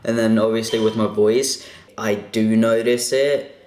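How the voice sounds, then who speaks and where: A man's voice: on the low side, steady tone, measured pace, a little mellow. A young man casually answering viewer questions in a vlog, chatty and a bit self-conscious, with lots of fillers. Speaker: vlogger. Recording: echoey room.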